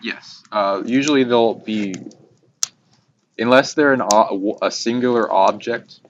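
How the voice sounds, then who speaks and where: A man talking casually and hesitantly about spiritual ideas as a host, rambling and swearing now and then. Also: fairly low pitch. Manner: hesitant, halting, casually profane. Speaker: host explaining esoteric ideas. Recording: muffled, noisy hobby recording with clicks.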